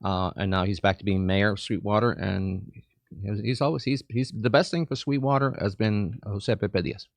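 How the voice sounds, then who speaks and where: A man's voice: fairly low pitch, fairly even intonation, moderate pace, gravelly, no echo. A man from Cuba, a chamber of commerce president, talking eagerly as a guest in a studio interview and often repeating words. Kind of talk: casual interview chat.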